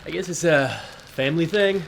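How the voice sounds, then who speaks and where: A man's voice: a little high, moderate pace. A man playing Pestilence as a glum, hesitant suburban brother, grumbling reluctantly in a mockumentary audio comedy. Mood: sullen, defensive. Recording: clear background ambient noise.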